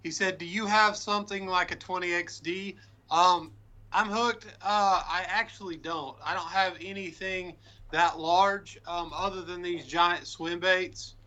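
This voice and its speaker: Male interview guest, high and bright, friendly filler-heavy chat. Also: fairly even intonation, unhurried. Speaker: guest, lure painter. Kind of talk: casual livestream interview.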